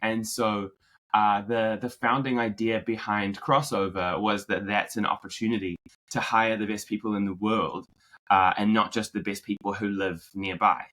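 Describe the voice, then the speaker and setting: Podcast guest: New Zealand man in his thirties, deep-ish voice, easygoing storyteller with lots of fillers. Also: moderate pace. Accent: New Zealand, mixed with American. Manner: relaxed storyteller, many fillers and restarts. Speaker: podcast guest, marketing executive. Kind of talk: conversational interview.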